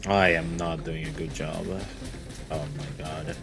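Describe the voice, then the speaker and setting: A man's voice: rumbling low, level tone, measured pace, vocal fry, loud music behind the voice. A man streaming a game, muttering self-critical reactions as he plays. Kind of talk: casual gameplay commentary.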